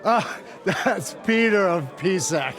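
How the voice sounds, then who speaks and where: A man's voice: high-pitched, animated, slow pace, gravelly, music in the background, crowd noise, full character voice. An actor playing a hearty, bantering, jovial medieval nobleman in a game cutscene. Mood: jovial, mocking.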